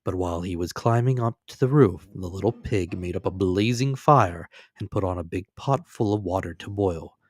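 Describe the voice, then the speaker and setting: A man narrating a fairy tale as a storyteller, with dramatic, expressive and deliberate scripted delivery. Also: low voice, slightly rough, no room sound, clean studio sound.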